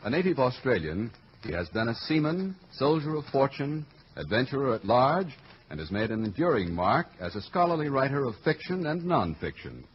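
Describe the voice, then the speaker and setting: A man's voice: mid-range voice, very animated, slight background noise. A man with an American accent announcing in a formal, dramatic 1940s radio style. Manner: formal, dramatic, grandly measured. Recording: muffled vintage radio broadcast with music.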